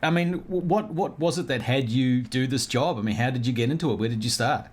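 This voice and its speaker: A man's voice: medium pitch, a little fast. A man hosting an interview, an actor himself, chatting in an effusive and enthusiastic way. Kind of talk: casual interview chat.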